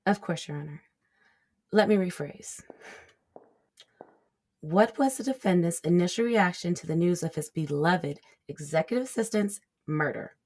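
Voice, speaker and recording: A tough female prosecutor in a courtroom drama. Her voice sits a little low. She is cool and formal, measuring out each question. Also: expressive, normal speed, long pauses, darkish tone. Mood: cool, probing. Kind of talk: courtroom audio drama acting.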